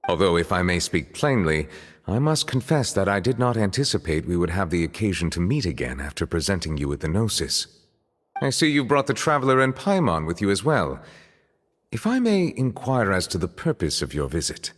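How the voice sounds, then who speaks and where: Stately game official, deep voice that moves a lot, grave and courteous, echoey hall. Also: male voice, big pitch swings, a little fast, slight background noise. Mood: courteous, reserved. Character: dignified, reserved male high official. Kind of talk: video game voice acting.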